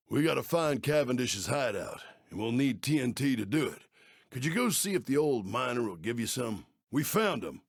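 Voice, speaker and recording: A man's voice: mid-range voice, creaky, slightly rough, no echo, studio quality. A man playing a folksy, aging, kindly but worried Old West sheriff in a video game, with an American Western accent and neighborly, drawling speech. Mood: determined. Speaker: mission-giving game character. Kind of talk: video game character acting.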